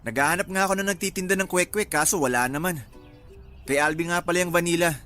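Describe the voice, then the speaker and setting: A man's voice: high voice, quick pace, pushed and bright. A man playing a joking, easygoing young boyfriend in a light radio drama style. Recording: music bed behind the voice.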